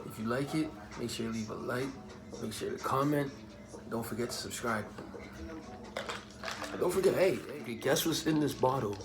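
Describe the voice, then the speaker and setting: A man's voice: mid-range voice, moderate pace, pauses often. Laid-back young man over music. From Bahamas. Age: young adult. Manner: laid-back, joking, streetwise slang. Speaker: vlogger answering viewer questions. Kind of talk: casual slangy Q&A chat.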